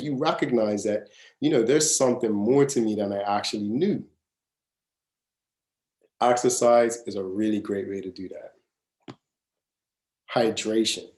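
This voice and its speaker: A man's voice: lowish voice, warm tone. Earnest mid-thirties man, echoey room. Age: 30s. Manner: earnest, casual, repeats phrases to stress them. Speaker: wellness coach. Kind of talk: live masterclass talk.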